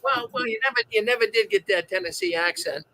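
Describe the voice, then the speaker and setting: A man's voice: high voice, quick pace, sharp tone, rough recording. A man hosting a live online show, welcoming viewers in an upbeat, repetitive announcer manner. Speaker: show host.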